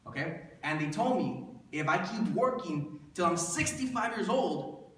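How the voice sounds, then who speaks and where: Man, 30, motivational speaker, conversational and questioning, somewhat high voice, heavy room echo. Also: expressive, measured pace, a bit bright. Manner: conversational, rhetorical questions to listeners, repeats for emphasis. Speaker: motivational presenter pitching a business plan. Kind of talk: motivational presentation to an audience. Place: indoor room before an audience. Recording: echoey room, distant mic, faint noise.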